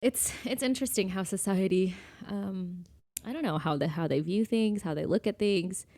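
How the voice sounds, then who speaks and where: Woman, podcast co-host, casual discussion, emphatic, informal, opinionated, mid-pitched voice. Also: moderate pace, mellow.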